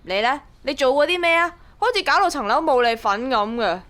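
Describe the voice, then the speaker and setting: A woman's voice: high voice, animated, brisk, a bit bright. An actress playing a pushy, scheming young woman with a Hong Kong accent, nagging emphatically in a radio drama. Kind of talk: Cantonese radio drama acting.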